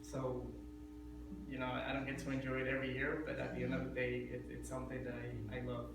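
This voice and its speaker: A man's voice: mid-range voice, completely flat delivery, a little fast, gravelly, far from the mic. A man in his thirties from Ecuador, giving a prepared speech to a speaking club in an earnest way, often checking in with "okay?". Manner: earnest, repeats words, asks "okay?". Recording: echoey room from afar, loud room noise.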